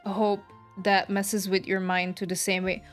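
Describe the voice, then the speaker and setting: A woman live-streaming a game in an informal, chatty way. She takes her time as she responds to viewers, speaking at a medium pitch. Soft music plays behind her voice. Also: flat delivery, unhurried. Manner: chatty, informal, reacting to chat comments. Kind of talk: casual livestream chat with viewers.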